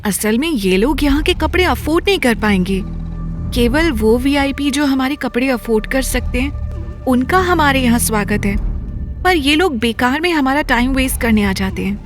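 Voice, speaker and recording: A woman's voice: high-pitched, lively intonation, moderate pace, music in the background, pitched above the performer's own voice. A woman voicing a haughty, defensive young female attendant at a luxury store, with forced politeness, in an audio story. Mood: defensive, dismissive.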